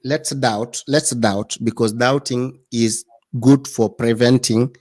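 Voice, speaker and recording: A man's voice: medium pitch, fairly even intonation, slow pace, a little mellow, no room sound, studio quality. A man as a guest commentator in an interview, speaking in earnest, repeating phrases for emphasis.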